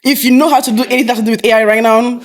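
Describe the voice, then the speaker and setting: A woman's voice: on the high side, steady tone, racing. A woman hosting a podcast, talking in a casual group chat style. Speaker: podcast host.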